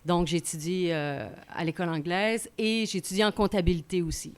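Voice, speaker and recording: A woman with a Québécois French accent, a singer answering interview questions in a relaxed, friendly way. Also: fairly low pitch, fairly quick. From Quebec. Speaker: guest singer. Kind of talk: interview conversation.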